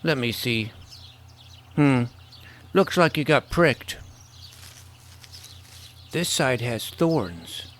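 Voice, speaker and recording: A man's voice: medium pitch, lively intonation, very slow, pauses often. A man playing an earnest, awkward boy hero in an audio drama, casual and boyish as he tells his adventure. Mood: curious, awkward. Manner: casual, boyish, hesitant phrasing. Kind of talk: first-person scripted narration and acted dialogue.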